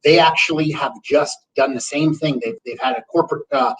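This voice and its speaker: A man's voice: on the high side, steady tone, fairly quick, a bit bright. A man from Canada co-hosting a webinar, bantering and joking in casual chat. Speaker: webinar co-host. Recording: distant from the mic.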